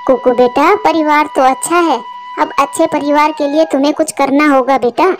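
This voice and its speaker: A woman's voice: high-pitched, steady tone, normal speed, soft background music, natural voice. A woman voicing a practical, coaxing matchmaker bird auntie in a cartoon story. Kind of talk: Hindi cartoon story voice acting.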